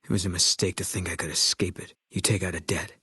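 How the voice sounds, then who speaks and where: An actor playing a terse, stoic ex-military sniper in a video game, grim and fatalistic, with a low husky voice. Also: male voice, level tone, quick pace, pushed and bright, dry recording, clean studio sound, full character voice. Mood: haunted, resigned. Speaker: video game companion character.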